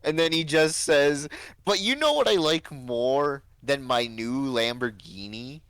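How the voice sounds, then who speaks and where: A man's voice: mid-range voice, animated, measured pace, fairly bright tone, smooth, dry recording. A man chatting casually as co-host of a stream. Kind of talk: casual chat.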